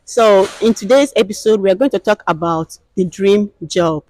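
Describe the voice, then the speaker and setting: A woman's voice: medium pitch, moderate pace, mellow. A woman from Nigeria hosting a casual discussion, talking with many hesitant fillers. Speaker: host.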